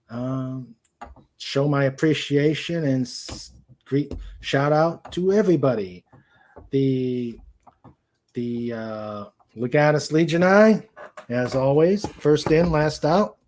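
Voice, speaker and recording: A man hosting a casual livestream, speaking in a halting way as he searches for words. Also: a little high, darkish tone. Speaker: host of a live-streamed show.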